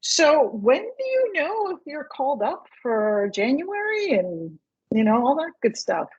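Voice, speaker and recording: A woman's voice: high voice, very animated, a little slow, a little mellow, highs cut off. A woman podcast host asking questions in a casual chat, eager and rambling like a fan.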